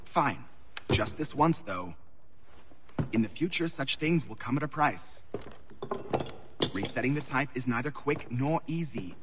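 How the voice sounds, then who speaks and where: A mid-pitched male voice in long reverb with clear noise, an actor as a reluctant colonial printer. He speaks formally, grudging every word of a favor in a game scene. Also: animated, unhurried, long pauses, natural voice. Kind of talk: video game voice acting.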